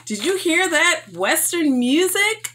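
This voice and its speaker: A woman hosting a story time, reading aloud for children in an enthusiastic, expressive and emphatic way. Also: very high-pitched, takes it slowly, a little hiss or hum.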